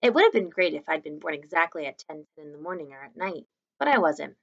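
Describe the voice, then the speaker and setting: A woman's voice: low voice, sing-song, fast, vocal fry, breathy edge, muffled recording. A young woman reading a children's novel aloud expressively, like a teacher to her students, in a small room at home. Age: young adult.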